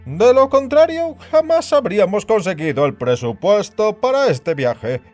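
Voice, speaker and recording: An overbearing big boss played by a male narrator in an audio drama. The voice is raised high and swings widely in pitch, lecturing with emphatic, condescending disapproval. Music plays behind it. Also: very animated, measured pace, a little mellow. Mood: scornful, explaining. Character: overbearing big burly male institute director, perpetually disapproving.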